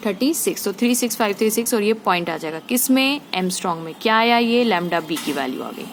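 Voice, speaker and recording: A woman's voice: mid-range voice, expressive, a little fast, a little hiss or hum. A woman teacher explaining a physics problem step by step, often asking her students checking questions and mixing in English terms.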